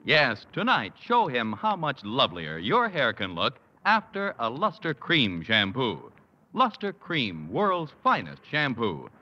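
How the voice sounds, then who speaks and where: A man's voice: mid-range voice, huge pitch swings, measured pace, pushed and bright, lo-fi sound. A man announcing and reading commercials for a 1950s network radio comedy in a projecting, salesy manner.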